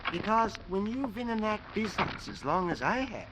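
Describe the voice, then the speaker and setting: High-voiced, fussy male cashier. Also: big pitch swings, moderate pace. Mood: eager, self-important. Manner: hesitant starts, self-important. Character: fussy, self-assured male cashier, eager witness. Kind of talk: 1940s-50s radio drama acting. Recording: noisy vintage radio recording.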